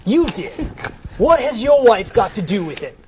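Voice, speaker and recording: A man's voice: high-pitched, very animated, normal speed, over a noisy crowd. A man reading the part of a stingy, cold miser in a comic table read, curt and dismissive. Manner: curt, dismissive, dryly haughty.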